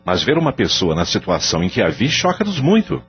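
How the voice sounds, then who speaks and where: Casting: actor as a guilt-ridden husband, low voice with lively pitch, pleading, dull recording. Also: male voice, a little fast. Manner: pleading and remorseful. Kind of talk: audio drama acting. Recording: dull lo-fi audio with faint music.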